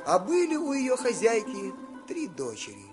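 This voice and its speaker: Male storyteller, high voice, singsong folk-tale narration, faint music underneath. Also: huge pitch swings, normal speed. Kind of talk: scripted fairy-tale narration.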